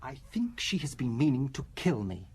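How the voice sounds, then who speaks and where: An actor playing a worried, fragile husband in an audio drama, speaking in a hesitant, halting, self-justifying way. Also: male voice, mid-range voice, very animated, husky. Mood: anxious, confiding. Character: worried fragile husband who suspects his wife of poisoning.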